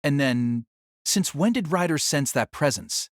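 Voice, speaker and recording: Male narrator for fantasy audio drama, slightly high voice, scripted dramatic reading, dry close recording. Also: animated, unhurried, dry recording.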